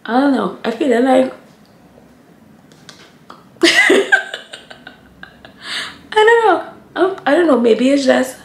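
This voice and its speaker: A woman's voice: high voice, big pitch swings, takes it slowly, vocal fry, pauses often. A woman in her thirties chatting casually to the camera as a lifestyle vlogger, talking straight to her viewers. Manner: chatty, addresses viewers as y'all. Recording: echoey room with faint hiss.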